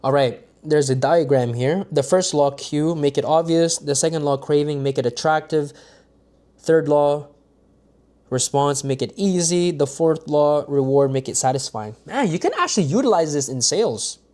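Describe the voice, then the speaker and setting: A man's voice: fairly high pitch, normal speed, darkish tone. Man reading a book aloud on stream. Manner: unpolished reading with stumbles and restarts, casual asides to viewers. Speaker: livestreamer.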